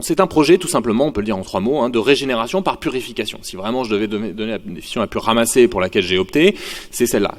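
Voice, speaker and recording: A male sociology lecturer giving a university conference talk, thinking aloud with frequent fillers and restarts. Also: medium pitch. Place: lecture hall. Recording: long hall reverb.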